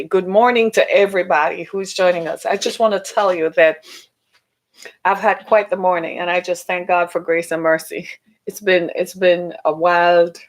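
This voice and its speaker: A middle-aged woman hosting a solo podcast, who is losing her voice and talks chattily, often asking listeners "right?", going up in pitch when she mocks someone. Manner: animated, rising pitch when mocking. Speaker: podcast host.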